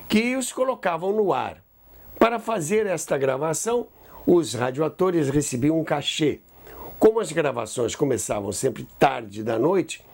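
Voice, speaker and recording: A man with a Brazilian accent hosting a history program, narrating a scripted account in a measured, emphatic storyteller's way. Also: medium pitch, a little mellow, breathy edge. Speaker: host of a radio history show.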